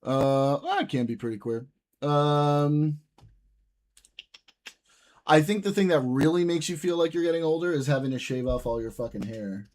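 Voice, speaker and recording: A man's voice: a little high, normal speed, long pauses, a little mellow. A young man hosting a live stream, chatting loosely with viewers and swearing often. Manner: loose, profane banter with viewers. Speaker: livestream host reading chat. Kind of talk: casual live-stream chat.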